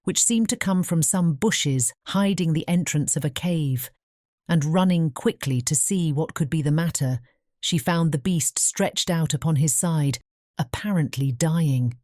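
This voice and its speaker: A woman narrating a scripted fairy tale audiobook as a measured storyteller. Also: low-pitched, dark tone, no room sound, studio quality.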